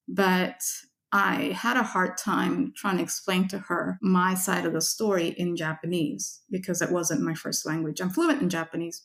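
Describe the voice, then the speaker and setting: A woman's voice: fairly low pitch, vocal fry. A woman from Indonesia, a researcher and author, speaking as an interview guest in a thoughtful, conversational way. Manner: thoughtful, conversational, many "like" fillers. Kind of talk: reflective interview storytelling.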